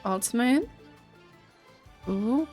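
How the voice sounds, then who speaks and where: A woman's voice: on the high side, expressive, extremely slow, a little mellow, soft background music. A woman giving casual gameplay commentary, reading game text aloud and cheering her pet with giggles. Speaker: gaming video creator.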